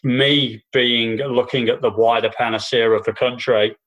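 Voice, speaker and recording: A man's voice: mid-range voice, steady tone, measured pace, a bit bright, lo-fi sound. A man co-hosting a casual political chat podcast, talking in long sweary runs full of false starts and restarts. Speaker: podcast co-host and stand-up comedian. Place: New Zealand.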